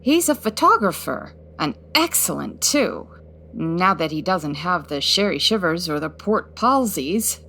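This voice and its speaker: A woman playing a brisk, wry, no-nonsense 1940s detective in a comedic old-time radio style. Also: fairly low pitch, very animated, bright, forceful tone, a little hiss or hum, exaggerated voice. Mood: confident.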